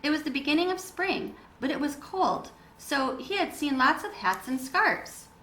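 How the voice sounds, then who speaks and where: A woman reading a children's story aloud in an expressive, emphatic, teacherly style. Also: sits high, normal speed. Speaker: children's read-aloud reader. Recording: roomy echo with background noise.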